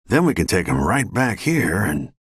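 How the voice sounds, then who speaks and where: An actor playing a sly, scheming outlaw crony in a video game, speaking in slangy, conniving tones. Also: male voice, low voice, sing-song, normal speed, a bit bright, husky, no echo, clean studio sound, exaggerated voice. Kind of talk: video game character voice acting.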